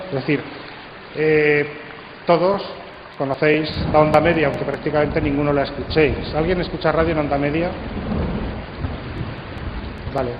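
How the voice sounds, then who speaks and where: Higher-voiced Spanish man moderating in a hall. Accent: Spain. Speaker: speaker moderating a congress session. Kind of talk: conversational address to an audience. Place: large hall at a radio drama congress. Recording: echoey hall, dull sound, clear background noise.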